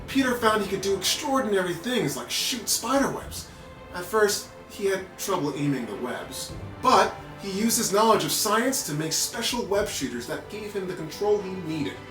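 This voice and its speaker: A man reading a children's story aloud in an animated narrating style with playful asides. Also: high-pitched. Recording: roomy echo with background music.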